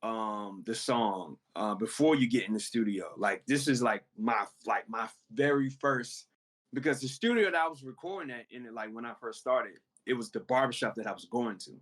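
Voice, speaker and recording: A man who raps, answering interview questions in a relaxed, conversational way about recording music. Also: medium pitch, moderate pace. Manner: relaxed, explains with many "you know what I'm saying". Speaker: rapper guest. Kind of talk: casual interview talk.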